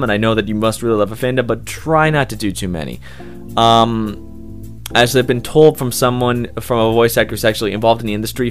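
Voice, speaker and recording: A man giving casual, rambling, unscripted interview answers as an amateur voice actor, informal and keen on the work. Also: mid-range voice. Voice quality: loud voice. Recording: music playing behind the voice.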